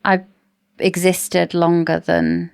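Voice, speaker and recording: A woman with a fairly low voice and plenty of vocal fry talks as a podcast co-host. She keeps it informal and conversational, as in a relaxed book discussion. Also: slow, no room sound, studio quality. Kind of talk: casual book discussion chat.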